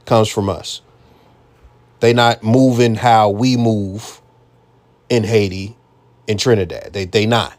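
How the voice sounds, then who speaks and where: A man's voice: lowish voice, fairly even intonation, slow pace, long pauses. An American man hosting a reaction commentary, talking bluntly and casually with frequent profanity. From United States. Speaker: commentary channel host.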